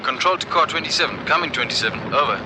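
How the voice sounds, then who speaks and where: Actor as a formal police dispatcher. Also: male voice, a little high, animated, noisy background, natural voice. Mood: official, urgent. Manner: measured, official. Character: formal, clipped police radio control room dispatcher. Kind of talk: vintage radio drama acting. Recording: old radio recording, background chatter.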